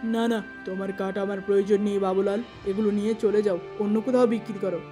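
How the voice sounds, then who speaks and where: A woman's voice: medium pitch, little pitch movement, fast, warm tone, faint music behind, lightly acted. A woman voicing a curt, scolding male timber merchant in a cartoon moral tale. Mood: dismissive. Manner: curt, scornful.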